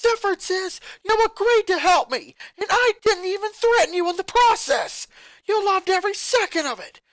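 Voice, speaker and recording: A man's voice: very high-pitched, animated, normal speed, no room sound, low-quality recording. A man voicing an angry eleven-year-old boy who rants and accuses his sisters in a fanfic reading. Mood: furious. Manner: ranting, accusing, voice rising to shouts.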